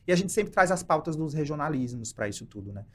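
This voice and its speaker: A chatty man from Ceará with a Northeastern Brazilian accent hosts an interview in an easygoing, conversational way. His voice sits a little high for a man, and he leans on "né?" as he thinks aloud. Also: expressive, fast, fairly bright tone. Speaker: podcast host.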